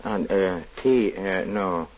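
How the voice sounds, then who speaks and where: An actor playing a timid, tired, polite lodger with a halting, soft-spoken manner in a 1940s radio drama. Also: male voice, low voice. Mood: hesitant, withdrawn. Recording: dull, hissy 1940s radio recording.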